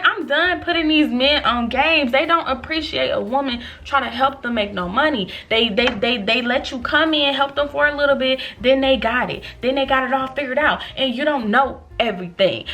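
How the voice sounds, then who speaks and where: A woman in her late twenties telling a storytime to her viewers in an animated, chatty way, calling them y'all. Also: a little high. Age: 20s. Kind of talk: casual storytime vlog.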